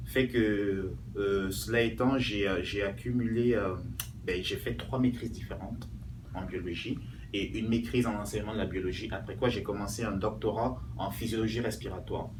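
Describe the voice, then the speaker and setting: A man's voice: fairly low pitch, steady tone, moderate pace. A man, answering interview questions as a doctoral student, thoughtful and hesitant with many fillers. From Cameroon. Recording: echoey room, distant mic, noise.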